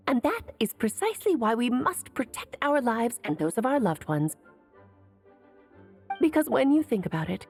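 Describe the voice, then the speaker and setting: A woman's voice: a little high, a little mellow. An actress playing a worldly, playful sorceress mother in a video game, teasing and eloquent in an airy, theatrical way. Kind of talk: video game character acting.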